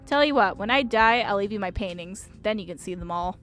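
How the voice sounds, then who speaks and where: A woman voicing a sickly, gloomy young male painter in a game, soft-spoken and resigned, speaking matter-of-factly about death. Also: mid-range voice, animated, brisk, faint music behind, close to an everyday voice. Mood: morbid, calm.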